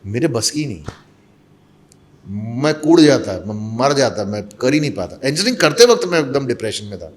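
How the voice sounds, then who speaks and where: A man's voice: medium pitch, big pitch swings, moderate pace. A man from Mumbai, an actor and voice-over artist, telling stories in a casual interview and mixing Hindi with English. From Mumbai, India.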